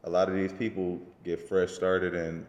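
A man's voice: low-pitched, little pitch movement, normal speed, a little mellow, a little hiss or hum. A man hosting a casual interview, slangy and affirming, often repeating his phrases. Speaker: podcast host. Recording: echoey, reverberant room.

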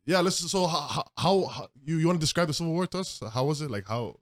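A man's voice: fairly high pitch, animated, quick pace. A man hosting a casual interview, informal, often repeating phrases and checking in with the listener. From Canada. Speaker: interview host.

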